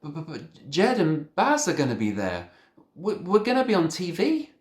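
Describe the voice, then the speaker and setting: Indignant schoolboy played by a man, loud pleading storybook voice, much higher pitch, echoey room. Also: wildly swooping pitch, normal speed. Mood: outraged. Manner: loud, stammering protests. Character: indignant, pleading schoolboy who protests loudly.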